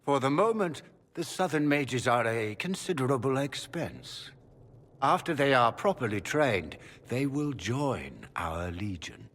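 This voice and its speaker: A suave magister in a fantasy game, courtly and calculating. His husky voice rises and falls theatrically, with long reverb trailing behind. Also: medium pitch, sing-song, measured pace, a bit bright, exaggerated voice. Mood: smugly persuasive. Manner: courtly, smooth-mannered, theatrical. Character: suave, calculating male magister, father of grown son.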